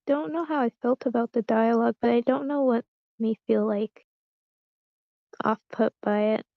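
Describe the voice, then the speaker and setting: A woman's voice: fairly high pitch, normal speed, gravelly, dry recording, dull audio. A woman giving casual feedback in a hesitant way, searching for her words. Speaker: workshop participant.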